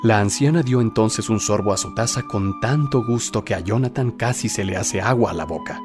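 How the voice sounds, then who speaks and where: A man's voice: lowish voice, normal speed, music in the background. A man narrating a scripted horror story in a theatrical, suspenseful storytelling manner.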